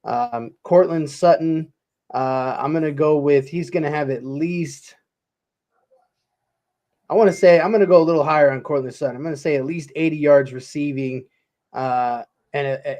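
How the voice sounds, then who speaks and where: A man in his late thirties co-hosting a sports show, laid-back and slangy, quick to laugh. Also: a little high, a little mellow. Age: 30s. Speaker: co-host. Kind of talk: casual sports talk.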